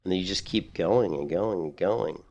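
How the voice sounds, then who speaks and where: A man in a casual, rambling chat about guitars, losing his voice a little and clearing his throat often. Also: low voice, normal speed, a little mellow. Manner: rambling, repeats himself, frequent throat clearing. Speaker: streamer.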